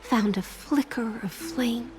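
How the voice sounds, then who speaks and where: A world-weary woman narrating a video game tale. She is slow, measured and theatrical, melancholic and grand, her phrase endings softening over clear background music. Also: on the high side. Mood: solemn, cold.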